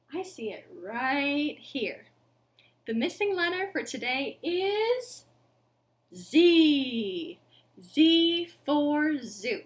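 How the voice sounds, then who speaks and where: A woman in her thirties reads to young children as a storytime host. Her voice sits fairly high and swings widely in pitch. She speaks very slowly, in an expressive sing-song way, addressing the children directly. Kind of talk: children's storytime, interactive read-aloud. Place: living room at home.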